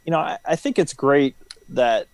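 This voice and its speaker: A man's voice: mid-range voice, expressive, normal speed, no room sound. A man talking casually as an interview guest, explaining things informally and often checking in with "right?". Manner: informal, explanatory, frequent "you know" and "right?". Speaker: interview guest, former military helicopter pilot.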